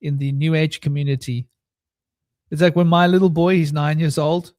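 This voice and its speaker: A man's voice: fairly high pitch, steady tone, moderate pace, a little mellow, dry recording, clean studio sound. A man in his thirties chatting earnestly on a video call, often repeating words while searching for his point. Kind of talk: casual online chat.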